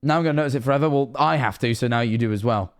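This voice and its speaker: A man's voice: mid-range voice, racing. A Scottish man of 21 streaming casually, rambling and self-mocking, swearing often.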